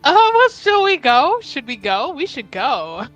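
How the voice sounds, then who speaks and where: A woman's voice: high-pitched, very animated, unhurried, fairly bright tone, faint music behind, rough recording. A woman playing in an online tabletop roleplaying game, laughing often and thinking aloud with hesitant questions. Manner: giggly, hesitant, talks through ideas. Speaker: player.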